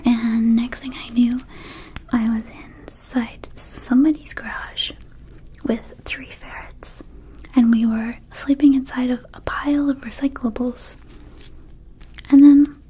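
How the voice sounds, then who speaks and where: A woman voicing a small, laid-back, slightly shady vampire dispensary keeper in an ASMR roleplay, whispering softly in a rambling, casual sales patter. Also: high-pitched. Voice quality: soft, breathy whisper.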